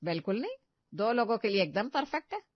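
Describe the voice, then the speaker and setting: A bossy middle-aged mother-in-law from the village, scolding and lecturing in a raised, fairly high voice, as a woman puts her on. The recording is dull and lo-fi, dry and close. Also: sing-song, fast talker, dry recording. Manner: scolding, instructive, exclaiming.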